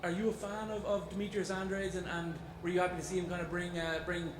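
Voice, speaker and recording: A man's voice: high-pitched, flat delivery, quick pace. A male reporter asking questions at a press scrum, starting and restarting his phrasing. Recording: echoey room with loud crowd noise.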